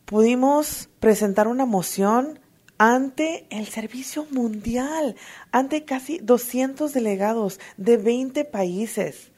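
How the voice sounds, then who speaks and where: A woman giving an emotional, earnest personal testimony at a recovery meeting, halting often to restart her sentences. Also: a little high, a little mellow, slightly rough. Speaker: twelve-step fellowship member sharing.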